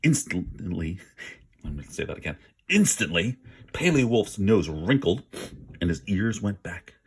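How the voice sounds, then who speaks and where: A man's voice: low-pitched, very animated, unhurried, slightly rough. A man reading a children's story aloud with dramatic, emphatic word stress and an informal manner. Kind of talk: expressive read-aloud narration with casual asides.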